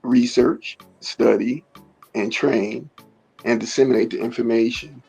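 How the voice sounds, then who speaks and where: A middle-aged man talks in a gravelly, raspy voice, pausing often and restarting his sentences as he answers questions. His speech sounds dull and lo-fi, with faint music underneath. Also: mid-range voice, fairly even intonation, warm tone. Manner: halting, many fillers and restarts. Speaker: martial arts teacher, interview guest. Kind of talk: casual conversational interview.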